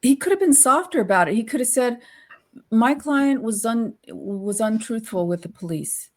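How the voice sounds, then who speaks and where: A woman's voice: fairly high pitch, expressive, normal speed. A woman co-hosting a casual legal discussion, thinking aloud in a tentative way. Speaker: co-host discussing law.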